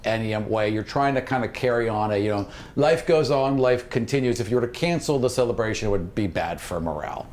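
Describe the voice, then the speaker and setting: A man's voice: on the low side, animated, fairly quick, hardly any pauses, darkish tone, slight background noise. A man narrating a tabletop fantasy game as its game master.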